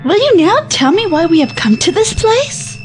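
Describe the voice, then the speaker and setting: A woman's voice: very high-pitched, very animated, moderate pace. An actress playing a timid young outsider woman in an audio drama, with stilted, formal phrasing. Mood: puzzled. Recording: loud music under the voice.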